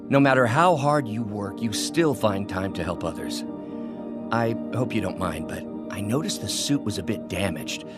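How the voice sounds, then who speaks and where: A man playing a kindly older scientist mentor in a video game, speaking formally and articulately with earnest care. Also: on the low side.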